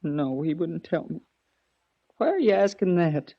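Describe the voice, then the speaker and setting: A woman's voice: fairly low pitch, animated, unhurried, dry recording. An actress playing a grieving, guarded middle-aged mother in a weary, mournful 1940s radio drama manner. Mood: guarded, bitter. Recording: dull, dry vintage 1940s radio recording.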